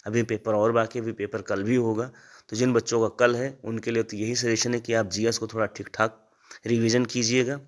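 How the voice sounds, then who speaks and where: A man's voice: mid-range voice, level tone, moderate pace. A man informally briefing students as a teacher, repeating points as he explains. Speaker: exam-prep teacher and channel host. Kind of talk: informal exam analysis addressed to students.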